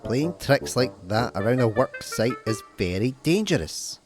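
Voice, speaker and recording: A man's voice: mid-range voice, very animated, a little slow, music in the background. A man voicing a strict but fair railway controller in an amateur cartoon comic dub, firm and formal as he reprimands. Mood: stern, disappointed.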